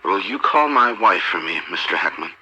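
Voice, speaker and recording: A man's voice: low voice, animated, moderate pace, pushed and bright, highs cut off, exaggerated voice. An actor playing a polite, subdued male hostage in an audio drama, speaking over a telephone. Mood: subdued, pleading.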